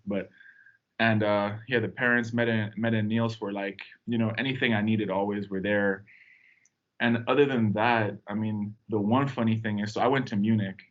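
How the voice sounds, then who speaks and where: A young man from Tanzania chats as a podcast guest, wandering through his story with plenty of fillers. His voice sits a little low. The recording sounds dull and lo-fi. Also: steady tone, normal speed. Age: young adult. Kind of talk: casual podcast chat.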